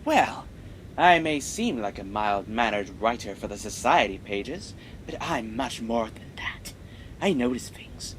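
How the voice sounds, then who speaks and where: An earnest amateur sleuth played by a man in an old-time radio mystery. He is pompous and dramatic, with a mid-range, very animated delivery over faint rumbling noise. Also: a little slow, poor audio. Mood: accusing. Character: earnest, self-important amateur sleuth newspaper writer.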